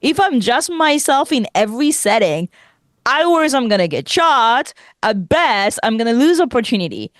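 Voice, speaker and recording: A young adult woman whose first language is French, co-hosting a podcast in casual chat, animated, laughing often and restarting sentences. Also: a little high, normal speed, no echo, studio quality. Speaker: podcast co-host.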